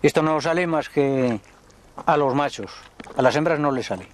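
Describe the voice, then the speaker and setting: An older man, a livestock trader telling unhurried, folksy stories in a documentary interview. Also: fairly high pitch. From Spain. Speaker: horse and mule trader.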